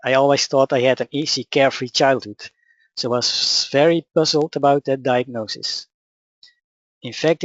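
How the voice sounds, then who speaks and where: A man with a Dutch accent, whose first language is Dutch, calmly telling his personal story and teaching in a measured, matter-of-fact way. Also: medium pitch, dry recording, dull audio. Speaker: spiritual teacher. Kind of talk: personal account and teaching talk.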